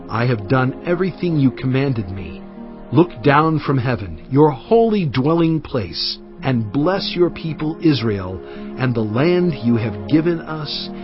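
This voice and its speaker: A man playing a solemn, commanding Hebrew prophet and lawgiver in a dramatized audio Bible, declaiming deliberately to his people. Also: a little high. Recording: dull band-limited audio over music. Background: background music.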